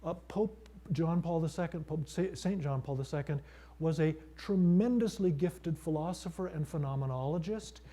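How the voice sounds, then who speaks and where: A middle-aged male priest giving a conference lecture, measured and thoughtful, with frequent fillers and restarts. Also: a little high, mellow. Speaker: priest and seminary teacher.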